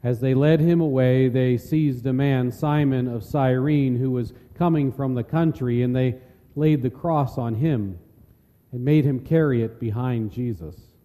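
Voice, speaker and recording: A man reads scripture as a solemn narrator. His voice is very dark and mellow, ringing with the long reverb of a large hall. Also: mid-range voice, unhurried. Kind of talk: solemn dramatic scripture reading.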